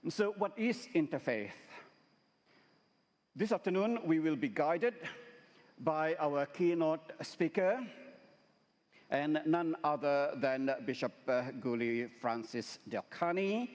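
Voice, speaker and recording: A man introduces conference speakers as a formal host in a big, echoing hall. His voice is on the higher side, and he goes slowly, pausing with frequent uhs between names. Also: lively intonation, darkish tone. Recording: large reverberant hall.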